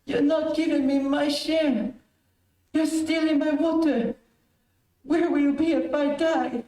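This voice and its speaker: Radio drama castaway female actor: dying convict, bitter and pleading, slow mellow delivery, roomy distant sound. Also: high-pitched, little pitch movement. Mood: desperate pleading. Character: dying, parched male convict navigator, bitter and vengeful. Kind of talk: old-time radio mystery acting. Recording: roomy, distant amateur stream audio.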